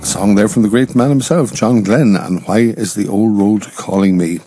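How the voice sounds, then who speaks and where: A man presenting a radio show, hoarse and croaky with a sore throat, chatting and reading out listener dedications. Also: lowish voice, normal speed, mellow, faint music behind. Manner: chatty, reads out listener names.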